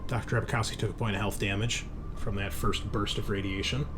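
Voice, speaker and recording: A man's voice: lowish voice, fairly even intonation, fairly quick, over music. A man running a tabletop roleplaying game as game master, talking in a casual, conversational way.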